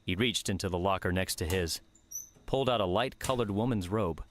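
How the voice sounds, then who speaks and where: Man narrating a story, low voice, slow and steady reading. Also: slight background noise. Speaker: audiobook narrator. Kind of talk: scripted narration.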